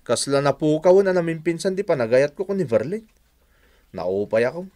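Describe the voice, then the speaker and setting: Man acting excitable young Ilocano bachelor, swooping voice. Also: fairly high pitch, moderate pace. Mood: dejected. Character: excitable, easily disappointed young bachelor son. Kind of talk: Ilocano radio drama acting.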